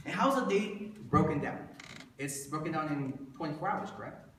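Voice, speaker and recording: Echoey, higher-pitched man presenting motivationally. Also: animated, moderate pace, a bit bright. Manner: conversational, rhetorical questions to listeners, repeats for emphasis. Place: indoor room before an audience. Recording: echoey room, distant mic, faint noise.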